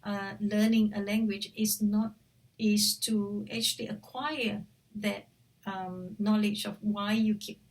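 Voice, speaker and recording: A woman's voice: medium pitch, darkish tone, far from the mic, rough recording. A woman from Singapore with a Singaporean accent, coaching viewers on language learning in a chatty way, with frequent fillers and restarts. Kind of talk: casual talk to camera.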